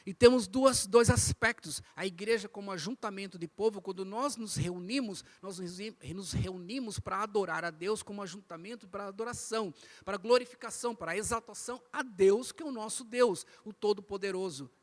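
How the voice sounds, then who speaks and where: A man's voice: high-pitched, moderate pace. A man from the São teaches a church Bible lesson, didactic, repeating phrases and asking rhetorical questions. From Brazil. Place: inside a church, speaking to a congregation.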